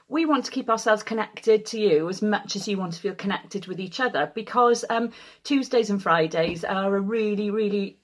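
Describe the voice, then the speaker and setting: A woman's voice: medium pitch, a bit bright. A Welsh woman leading a choir from home, chatting casually and self-deprecatingly with lots of ums. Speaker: choir leader. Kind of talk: casual chat to camera. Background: faint keyboard.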